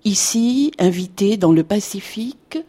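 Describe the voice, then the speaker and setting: A woman's voice: mid-range voice, lively intonation, a little slow, dark tone. A woman hosting a radio interview, presenting her guest and putting questions.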